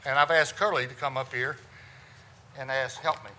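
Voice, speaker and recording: A middle-aged man with a loud, resonant voice, giving a humorous talk as a funeral director. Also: mid-range voice, steady tone, moderate pace, slight background noise. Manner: loud, folksy storyteller with self-deprecating jokes. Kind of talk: humorous presentation to a lawyers' audience.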